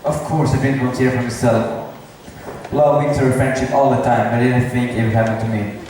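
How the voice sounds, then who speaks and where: A man's voice: mid-range voice, little pitch movement, brisk, a little mellow, natural voice. Man playing jealous young lover, echoey hall. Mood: heartbroken. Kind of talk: amateur stage acting in modernized Shakespeare. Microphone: far camera. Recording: distant, echoey hall, faint noise.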